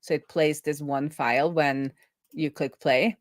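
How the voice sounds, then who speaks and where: A woman's voice: low-pitched, fairly even intonation, measured pace, no echo, studio quality. A woman explaining programming informally as a host, thinking aloud as she goes. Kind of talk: informal live coding explainer.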